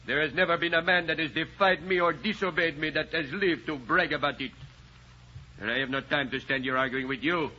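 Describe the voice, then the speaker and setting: A man's voice: on the high side, sharp tone. A man acting a menacing bandit leader in an old radio drama, speaking with formal, mocking courtesy. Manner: formal, mock-courteous, deliberate. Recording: dull, noisy old radio recording.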